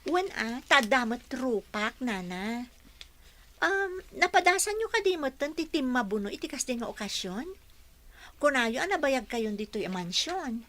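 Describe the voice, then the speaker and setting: A woman's voice: high voice, big pitch swings, unhurried, fairly bright tone, full character voice. A woman with an Ilocano accent playing a dutiful young daughter who works as a housekeeper, in radio drama style. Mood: earnest, respectful.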